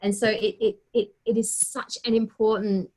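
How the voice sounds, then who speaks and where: A woman's voice: medium pitch, little pitch movement, normal speed, dark tone, rough recording. A woman, a performance coach hosting an interview and telling stories in a conversational way.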